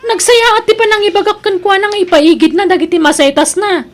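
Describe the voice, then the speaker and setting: Quarrelsome young sister-in-law, female actor, Filipino accent: very high pitch, spiteful, accusing, bossy radio drama. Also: steady tone, a little fast. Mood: spiteful, taunting. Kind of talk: radio-style audio drama acting.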